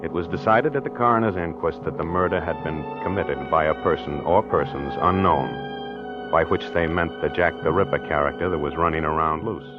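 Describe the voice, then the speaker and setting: An American actor playing a jealous, obsessive wife-killer in a confessional, insistent 1940s radio mystery drama. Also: male voice, very deep voice, normal speed, over music. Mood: calm, calculating. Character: jealous, obsessive man who murdered his wife, narrating his crime. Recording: dull vintage 1940s radio recording.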